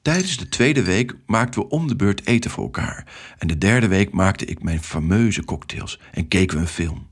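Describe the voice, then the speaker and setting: Low, slightly husky male storyteller. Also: lively intonation, normal speed. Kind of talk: scripted first-person children's story narration.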